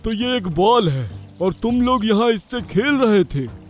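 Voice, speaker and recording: Man voicing a slow, high-pitched bossy cartoon bear. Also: big pitch swings. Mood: curious, domineering. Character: menacing male bear, slow, bossy bully. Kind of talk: Hindi children's animated story.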